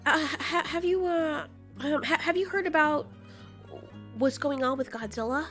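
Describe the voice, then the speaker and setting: A woman's voice: high voice, measured pace, a bit bright, faint music behind, voice pushed up. A woman voicing an anxious, jealous female giant moth monster in an amateur audio story, with a hesitant, emphatic delivery. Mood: awkward, hesitant.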